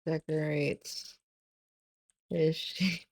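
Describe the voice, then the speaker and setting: A woman streamer reading her own fiction aloud, stopping often to fix her wording. Her voice is going hoarse. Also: sits low, level tone, very slow. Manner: stops often to correct her wording, laughs at slips. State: losing her voice.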